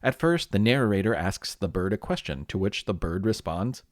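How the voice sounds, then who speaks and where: A man's voice: lowish voice, expressive, moderate pace, no room sound, clean studio sound. A man with an American accent narrating a scripted history podcast as host, telling it like a storyteller to young listeners.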